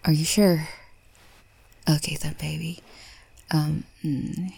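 A woman performing a roleplay monologue, speaking mostly in a soft, breathy whisper with a teasing, doting manner. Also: deep voice, little pitch movement, takes it slowly, no echo.

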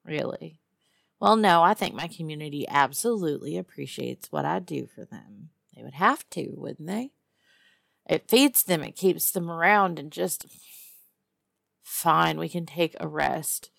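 A woman playing a tough, teasing lizard-person huntress in an immersive audio roleplay, with a Texas Southern accent and a sardonic drawl full of musing pauses and hums. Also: low-pitched, animated, creaky, fairly bright tone, dry recording, studio quality, heavily acted. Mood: wistful, then guarded, stern. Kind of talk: one-sided immersive audio roleplay to a captive listener.

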